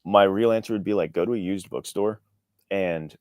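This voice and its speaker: A man with a deep voice answers as a guest in a casual interview. He sounds earnest, thinking aloud, and the recording is dry and close. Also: normal speed, a little mellow, dry recording. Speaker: author, podcast guest.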